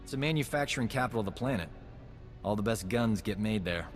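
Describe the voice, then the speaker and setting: A man's voice: fairly low pitch, animated, fast, faint music behind, close to an everyday voice. Man acting a wry rebel field doctor in reverb. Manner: wry, flippant. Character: wisecracking, dedicated male rebel field doctor. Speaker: Resistance field doctor character. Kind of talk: video game cutscene acting.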